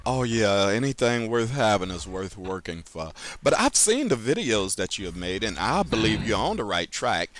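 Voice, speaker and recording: Male talk show host, low voice with big swings in pitch, conversational interviewing with halting phrasing. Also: big pitch swings, a bit bright. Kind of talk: hosting a chat interview in a virtual world.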